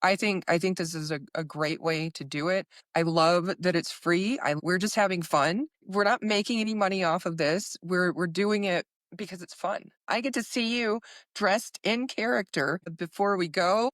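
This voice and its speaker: Woman, podcast host, slightly low register. Effusive, halting, conversational delivery, little room sound. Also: no room sound, studio quality.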